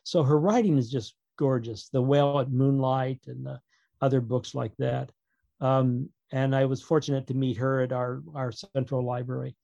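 A man talks as a guest author in a casual interview. His delivery is halting and tends to digress, with a wry sense of humor. His voice has a middling pitch and a very dry sound with little room in it. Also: creaky, dark tone, no room sound, dull audio.

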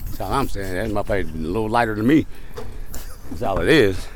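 A man, co-hosting a podcast in loud, joking casual banter and laughing often. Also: on the low side, lively intonation, fairly quick, slight background noise. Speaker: podcast co-host.

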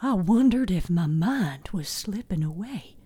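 A woman voicing a grave, troubled older woman keeper of stories, hushed and deliberate. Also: on the low side, animated, breathy edge. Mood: haunted.

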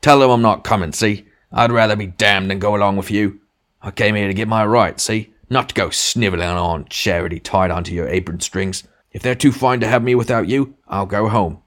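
A man's voice: on the low side, a little fast, fairly bright tone, voice pushed up, lightly acted. A man voicing a big, sulky working-class male ghost in an audiobook, self-righteous and argumentative, full of blustering grievance. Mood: bitter, defiant. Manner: blustering, argumentative, punctuating with demands. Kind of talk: literary audiobook character dialogue.

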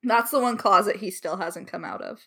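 A woman's voice: mid-range voice, lively intonation, normal speed, gravelly, pushed and bright, breathy edge. A woman co-hosting a creator Q&A, chatting casually with playful, mock-serious emphasis. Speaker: podcast creator and co-host.

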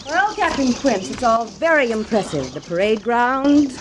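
An actress playing a proud, bitter army widow from back east in a 1950s radio drama, with a formal, cutting manner. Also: female voice, fairly high pitch, very animated, measured pace, noisy background. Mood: cold politeness.

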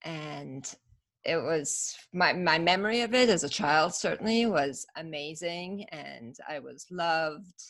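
A woman's voice: low-pitched, animated, slow, gravelly, a bit bright. A woman in her fifties from Iran, a podcast guest telling her story in a reflective, casual way with frequent fillers. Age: 50s. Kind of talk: casual interview chat.

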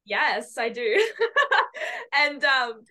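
A woman's voice: on the high side, drawn-out words, bright, forceful tone. A young woman co-hosting a podcast in casual chat over a video call, earnest and chatty with lots of fillers. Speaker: podcast co-host.

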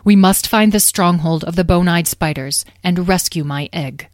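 A woman's voice: on the low side, lightly acted. A woman as a grave, caring mother dragon. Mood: determined. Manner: measured, slow, serious.

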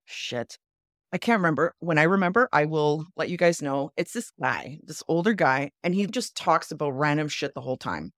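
A woman hosting a podcast, chatting casually in a low, animated voice. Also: moderate pace, no room sound, studio quality. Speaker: podcast host.

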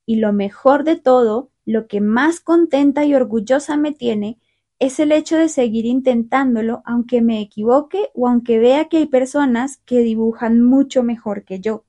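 A young woman hosting a learner podcast, talking through a calm, clear, scripted monologue. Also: on the high side, moderate pace. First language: Spanish. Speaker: podcast host.

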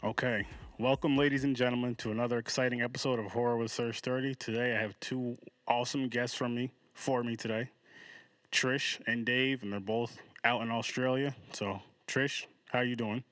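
A man's voice: mid-range voice, fairly even intonation, normal speed, a bit bright, no room sound. A man from New York State hosting a podcast, chatting casually and upbeat with his guests. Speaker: podcast host.

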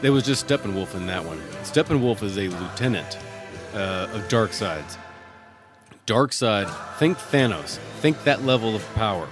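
Low-voiced jokey man hosting over music. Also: expressive, measured pace. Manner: jokey, chuckling, informal. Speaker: host. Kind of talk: casual solo podcast chat with pop-culture commentary. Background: music playing under the voice.

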